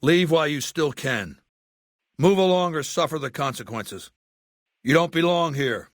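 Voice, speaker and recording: A man playing an arrogant, ruthless middle-aged warlord dictator in a video game, speaking in a haughty, deliberate, condescending way. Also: on the high side, expressive, no room sound, studio quality. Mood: menacing. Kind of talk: video game voice lines and monologue.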